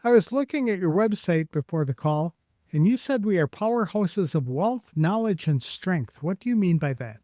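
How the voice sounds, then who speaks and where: A man's voice: sits high, animated, normal speed, dark tone, no echo, clean studio sound. A man hosting a podcast interview, asking questions and giving short affirming replies. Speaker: podcast host.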